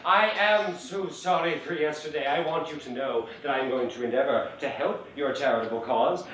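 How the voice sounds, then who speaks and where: A man's voice: on the high side, fairly bright tone, natural voice. A man playing an older male miser in a one-man storytelling performance, theatrical, pleading and exclaiming. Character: older male miser, desperate then giddy with joy. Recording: roomy, distant mic, faint ambience.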